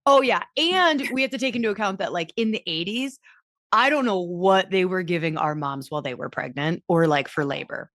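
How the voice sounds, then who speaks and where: A woman's voice: lowish voice, brisk, a bit bright, no echo, clean studio sound. A woman in her thirties from Southern California hosting a podcast, chatting casually with frequent laughs and fillers. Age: 30s. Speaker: podcast host. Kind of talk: casual conversational interview.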